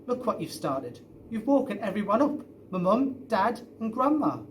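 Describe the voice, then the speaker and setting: A man voicing an excited young farm boy in a children's storybook. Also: high-pitched, moderate pace, recorded from a distance, a little hiss or hum, pitched above the performer's own voice. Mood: exasperated.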